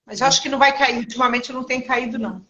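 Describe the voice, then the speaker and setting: A woman's voice: high voice, steady tone, rapid-fire, fairly bright tone, muffled recording. A woman hosting a casual live-stream interview, chatty and full of tag questions. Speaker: interview host. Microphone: remote call over a live stream.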